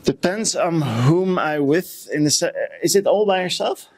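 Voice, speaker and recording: A man co-hosting a podcast in casual, unscripted banter, jokey and agreeable, quick to react. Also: on the high side, expressive, darkish tone. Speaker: podcast co-host.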